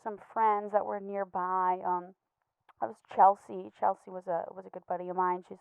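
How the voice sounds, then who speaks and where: A woman playing an anxious, earnest pet betta fish in a comedy voicemail monologue, stammering and hesitant, gently judgmental and apologetic. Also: mid-range voice, little pitch movement, normal speed, gravelly, smooth, no echo, natural voice. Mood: wistful. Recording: muffled, tinny phone-like voicemail sound.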